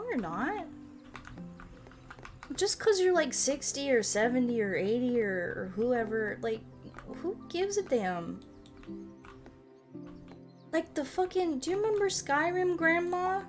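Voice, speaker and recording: A woman in her late twenties chatting informally as a livestream host, rambling and animated, with loose, sweary language. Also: sits high. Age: late 20s. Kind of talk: casual chat while playing a game, reading and answering chat.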